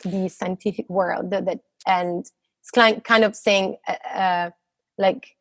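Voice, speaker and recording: A woman's voice: medium pitch, steady tone, slow pace, fairly bright tone, dry recording. Hesitant Italian-accented woman. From Italy. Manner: hesitant, many fillers and restarts. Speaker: guest, postdoctoral physicist. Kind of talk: casual interview answer.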